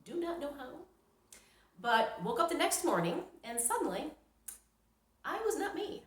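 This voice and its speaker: A woman's voice: high-pitched, big pitch swings, measured pace. Woman telling a personal story, echoey room. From New York, United States. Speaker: storyteller, vlogger. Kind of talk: casual personal storytelling to camera. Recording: roomy, echoing sound.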